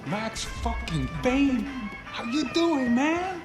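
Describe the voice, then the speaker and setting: A man's voice: extremely high pitch, sing-song, takes it slowly, full character voice. An actor playing a streetwise, affable male ex-cop turned bodyguard in a game cutscene, brash and chummy. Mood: chummy, excited. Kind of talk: dramatic game cutscene acting. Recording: music behind dialogue.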